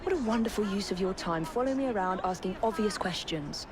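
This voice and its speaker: A woman's voice: mid-range voice, expressive, quick pace, lightly acted. A woman playing a dry, sarcastic assassin. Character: sharp-tongued young woman assassin. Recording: game cutscene with music.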